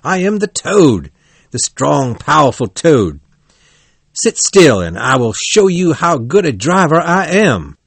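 Storybook role: male reader as crafty, puffed-up fat toad, pompous, very lively pitch, dry close sound. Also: measured pace, breathy edge, no room sound, heavily acted. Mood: angry, boastful. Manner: pompous, theatrical.

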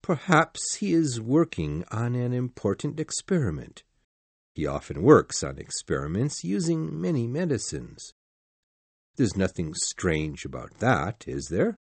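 A steady middle-aged lawyer who doubts what he hears, as a woman reader plays him, speaking in a slow, husky, lowered voice. His questions are measured and probing. The recording is dry and close. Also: no room sound, exaggerated voice. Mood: doubtful, probing. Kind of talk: storybook reading.

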